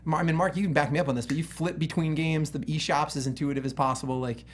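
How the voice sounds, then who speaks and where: A man's voice: on the high side, steady tone, fast. A man hosting a casual panel chat about video games, effusive and slangy in the way he talks. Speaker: talk show host.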